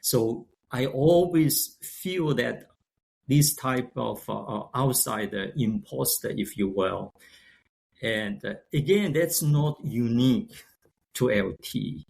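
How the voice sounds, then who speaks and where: Gravelly older man, Chinese accent. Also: mid-range voice, darkish tone. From China. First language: Shanghainese. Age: 60s. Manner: halting, many restarts and fillers. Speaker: guest, company founder. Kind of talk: casual interview talk.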